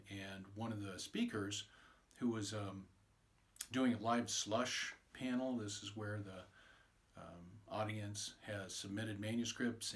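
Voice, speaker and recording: A man, an author, informally introducing and narrating his own short story. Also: fairly low pitch.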